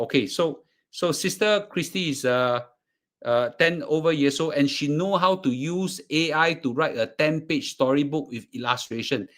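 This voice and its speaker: A man with a Singaporean accent talking casually to a class as presenter, restarting and repeating words. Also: fairly high pitch. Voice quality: halting, repeats words, fillers. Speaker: course presenter. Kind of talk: casual live class talk.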